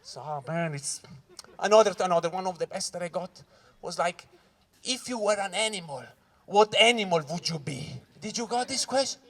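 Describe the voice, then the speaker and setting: A Swiss man from Ticino, an English speaker, doing a loud, animated stand-up set at a corporate event and talking straight to the crowd. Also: sits high, normal speed. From Switzerland (Ticino). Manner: loud, animated crowd work, keeps saying "guys". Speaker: stand-up comedian. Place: corporate event hall with live audience.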